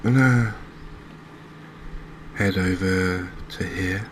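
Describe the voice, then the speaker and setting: A man giving quiet, unscripted ASMR-style game commentary, unhurried and thinking aloud with pauses. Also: low-pitched, background noise. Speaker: gaming video host.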